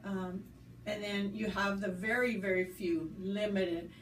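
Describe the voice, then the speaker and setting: A woman's voice: mid-range voice, steady tone, measured pace. A woman who was born in the United States, being interviewed and speaking earnestly and thoughtfully, with fillers and restarts. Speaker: school staff member interviewed. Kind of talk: conversational interview. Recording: roomy, distant mic, faint background noise.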